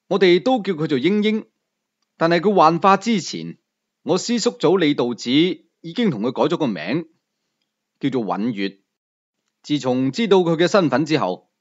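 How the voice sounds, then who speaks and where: A man's voice: a little high, dull audio. A man with a Cantonese accent narrating a scripted first-person novel as an audiobook storyteller.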